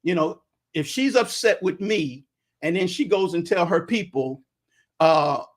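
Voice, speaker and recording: A man, a guest instructor talking about marriage in a halting way, with frequent ums and restarts. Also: sits high, lively intonation. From Brooklyn, New York. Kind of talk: casual chat on marriage.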